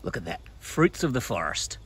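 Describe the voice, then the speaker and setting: A man in his forties with an Australian accent and a husky voice, giving a simple explanatory nature talk for children outdoors. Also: mid-range voice, very animated, moderate pace, background noise. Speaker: pastor presenting a children's story. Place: outdoors in bushland.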